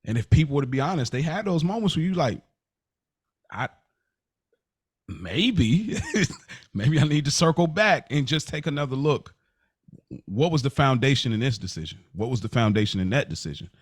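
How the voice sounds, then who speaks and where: Man, mid-pitched, casual confessional podcast talk, dry close sound. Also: very animated, normal speed, long pauses, husky, no room sound. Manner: reflective, confessional, slangy. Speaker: podcast co-host. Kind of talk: candid casual conversation.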